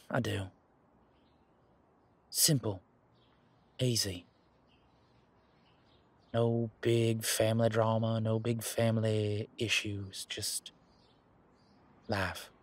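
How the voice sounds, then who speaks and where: A man playing an earnest, teasing werewolf boyfriend in an intimate roleplay audio drama, speaking casually and haltingly with a husky voice. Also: on the low side, no echo. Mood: earnest, hopeful. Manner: casual, halting, conversational with trailing pauses. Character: male werewolf boyfriend, earnest, hopeful, teasing former bodyguard.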